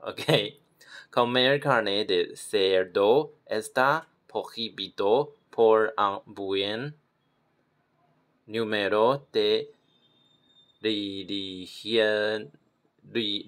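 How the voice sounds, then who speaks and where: A man from Taiwan, practicing pronunciation slowly and repeating words as he corrects himself. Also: on the low side. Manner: slow, repeats words, self-correcting with soft laughs. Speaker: language learner. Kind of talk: self-recorded pronunciation practice.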